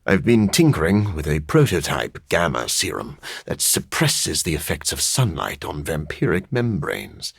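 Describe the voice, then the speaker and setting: A man voicing a brooding, dryly sardonic scientist turned vampire in a video game, speaking formally with a husky edge. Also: extremely low pitch, wildly swooping pitch, a little slow, few pauses, no echo, full character voice. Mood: grave, measured. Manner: formal, learned, dry wit. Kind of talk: video game character voice acting.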